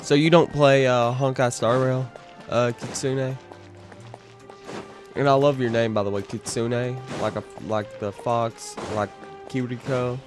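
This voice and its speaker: A man's voice: mid-range voice, level tone, a little slow. A young man streaming a game, chatting casually and rambling to his viewers. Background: game music.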